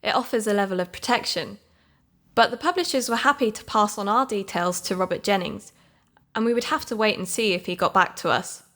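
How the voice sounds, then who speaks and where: A woman's voice: medium pitch, fairly quick, fairly bright tone, lightly acted. A British woman playing an earnest podcast investigator, giving scripted, explanatory narration in an audio drama.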